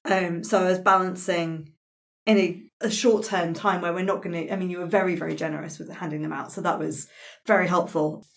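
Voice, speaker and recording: A woman's voice: lowish voice, fairly even intonation, a little fast, creaky. A woman who plays tabletop games, talking informally in a post-game chat.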